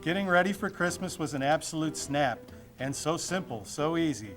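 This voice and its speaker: A man's voice: a little high, lively intonation, normal speed, a bit bright. A man reading a children's storybook aloud in a playful, theatrical way. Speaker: storybook reader. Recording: music playing behind the voice.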